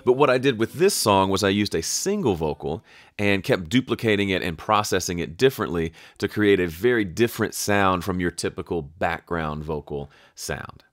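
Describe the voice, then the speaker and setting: Low-voiced man in a casual tutorial. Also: lively intonation, normal speed, dry recording, clean studio sound. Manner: conversational, thinking aloud, self-interrupting. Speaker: audio production tutorial host. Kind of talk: casual screen-share tutorial talk.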